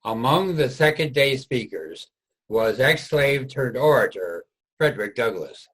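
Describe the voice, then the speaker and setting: A man's voice: medium pitch, animated, a little slow, a bit bright, poor audio. A man narrating a scripted history for a reader's theater production.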